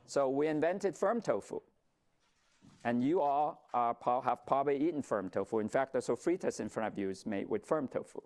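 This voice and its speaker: A man's voice: mid-range voice, darkish tone. Brief: middle-aged man from Vietnam, founder presenting to an audience, easygoing storyteller, mid-range voice. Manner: conversational storytelling with light humor, occasional fillers. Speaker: company founder giving a talk.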